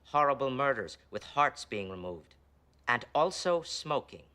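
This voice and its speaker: Stern, officious school principal. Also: male voice, mid-range voice, big pitch swings, unhurried, sharp tone, clear, resonant tone. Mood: stern, disapproving. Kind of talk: TV series acting.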